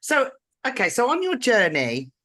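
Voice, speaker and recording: A woman's voice: fairly high pitch, huge pitch swings, normal speed, a bit bright. Throaty woman hosting a podcast. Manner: affirming, chimes in with agreement. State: dry, throaty voice. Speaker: podcast host and coach. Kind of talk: conversational podcast interview.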